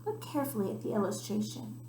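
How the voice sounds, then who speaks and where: A woman's voice: mid-range voice, big pitch swings, fast, warm tone, slight background noise. A woman teacher reading a picture book aloud expressively to children, stopping to point out details to her young listeners. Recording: roomy, echoey sound.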